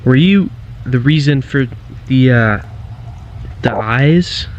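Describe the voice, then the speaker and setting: Guy acting as a scared explorer in found footage horror, stammers, really slow and halting, loud noise all over. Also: medium pitch, animated, highs cut off. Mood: nervous, hesitant. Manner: halting, stammering, nervous questioning. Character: frightened man exploring an abandoned building.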